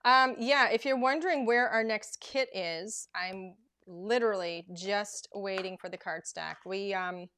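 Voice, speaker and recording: A woman hosting a live crafting demonstration, chatty and rambling with plenty of filler words, her voice going hoarse as she loses it. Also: medium pitch, a little slow, hardly any pauses, fairly bright tone. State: losing her voice. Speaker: craft show host.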